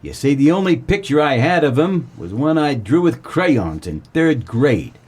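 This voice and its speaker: An actor playing a wry, world-weary 1940s private eye, narrating a comedic noir radio play. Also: male voice, mid-range voice, a little slow, breathy edge. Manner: wry, deadpan, colloquial.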